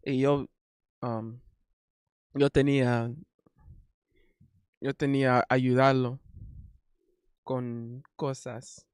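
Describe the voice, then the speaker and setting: A Haitian man speaking Spanish as a learner, chatting casually on a stream, halting and correcting himself often. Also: mid-range voice, flat delivery, smooth, no room sound, studio quality. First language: not Spanish. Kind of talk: casual chat.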